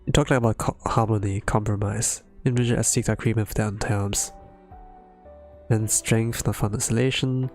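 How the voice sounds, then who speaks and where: A man streaming a casual blind readalong of a game story, thinking aloud and haltingly as he comments. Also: lowish voice, steady tone, darkish tone. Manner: halting, thinking aloud between read lines. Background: music.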